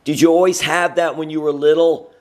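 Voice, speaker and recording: Man, sports interviewer, fairly high pitch, conversational, encouraging, wordy questions. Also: steady tone, fairly quick. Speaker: interviewer, host.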